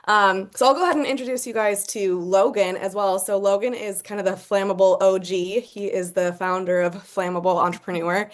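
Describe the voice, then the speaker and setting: A woman muralist and illustrator, speaking as a guest on a webinar in a chatty, friendly way. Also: medium pitch. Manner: chatty, friendly, many fillers. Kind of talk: casual introduction on a webinar.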